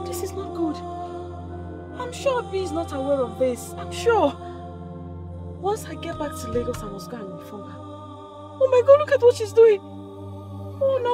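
A woman playing an alarmed village woman who exclaims and pleads. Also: extremely high pitch.

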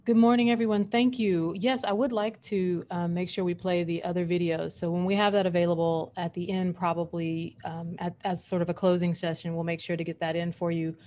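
Middle-aged woman organizer, slightly low voice, informal intro over video call. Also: steady tone, fairly quick, hardly any pauses, warm tone. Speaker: academic event organizer.

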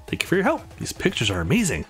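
Man putting on a high, sweet young woman. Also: wildly swooping pitch, very fast, soft background music. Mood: cheerful, friendly. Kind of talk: visual novel character read aloud.

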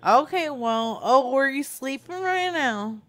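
Slow, crude-talking woman prank caller. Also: high voice, lively intonation, fairly bright tone, no room sound. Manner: crude, teasing, rambling. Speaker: prank call show host. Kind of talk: casual improvised prank calling.

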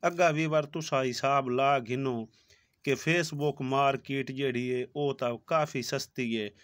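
A man's voice: mid-range voice, steady tone, unhurried, fairly bright tone, dry recording. A man in his thirties from Punjab, Pakistan, narrating a village vlog to his viewers on a phone held at arm's length. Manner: talks to viewers, repeats "viewers" often. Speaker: village vlogger. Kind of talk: casual travel vlog narration. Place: outdoors on village roads, then a shoe shop.

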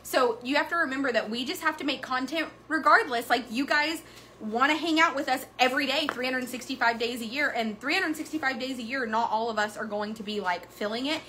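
Host in her 30s, frank and animated, bright voice, slightly high, faint room noise. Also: fairly quick. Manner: frank, animated, swears casually. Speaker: horse business owner and channel host. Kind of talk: casual chat to viewers. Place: wood-paneled indoor room.

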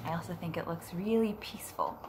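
A woman in her thirties, a teacher reading a picture book aloud gently and unhurriedly, drawing out words, at home. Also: fairly low pitch, brisk. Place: living room at home, on a couch. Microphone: device camera a short distance away. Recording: noticeable room background noise.

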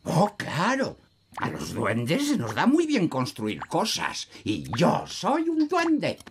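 A man voicing a smug, wise old elf in a children's cartoon, pompous and deliberate. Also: a little high, wildly swooping pitch, vocal fry, a little hiss or hum, exaggerated voice. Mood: self-important. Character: smug, wise old male elf, know-all builder. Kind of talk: animated children's cartoon dub.

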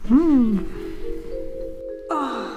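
Man playing a high-voiced, smug, bossy little brother. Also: very slow, narrow, tinny audio, full character voice. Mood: wheedling, demanding. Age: 20s. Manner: wheedling, smugly demanding. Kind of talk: exaggerated children's web sketch acting. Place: small furnished rooms, indoors. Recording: loud music behind the voice.

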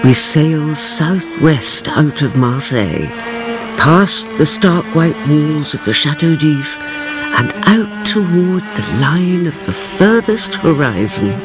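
A woman's voice: sits low, lively intonation, slow, music in the background, low-quality recording. A woman acting as a devoted, stately storyteller, narrating a radio drama solemnly and straight to the listener. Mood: solemn, suspenseful. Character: devoted, stately female storyteller, the count's companion.

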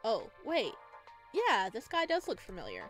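A woman streaming a visual novel, reading aloud and reacting in a playful, dramatic, expressive way. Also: a little high, moderate pace. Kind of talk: let's play, reading game narration aloud with reactions. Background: game music.

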